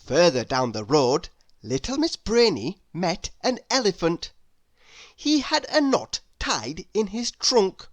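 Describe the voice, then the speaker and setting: A woman reading a children's story aloud in an expressive, deliberate storytelling manner. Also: lowish voice, dry recording. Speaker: storybook reader. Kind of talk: scripted read-aloud narration of a children's story.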